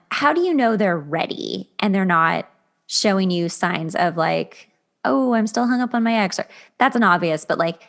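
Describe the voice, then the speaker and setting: A woman hosting a podcast, speaking in a casual, conversational interview style. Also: lowish voice. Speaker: podcast host.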